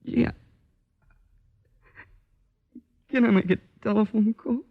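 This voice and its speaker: A man's voice: high voice, fairly even intonation, a little fast, gravelly. A man acting a guarded, tough young delinquent in an old-time radio drama, with a slangy, clipped, wary delivery. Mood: wary wonder. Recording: muffled old radio recording.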